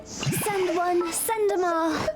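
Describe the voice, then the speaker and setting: A woman's voice: very high-pitched, steady tone, very slow, exaggerated voice. An actress voicing a female video game character delivering short battle lines. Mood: brisk, commanding. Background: game music.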